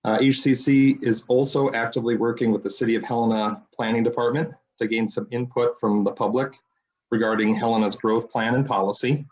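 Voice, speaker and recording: A man with a deep voice giving a brisk citizens council report at a city meeting. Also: steady tone, darkish tone, low-quality recording.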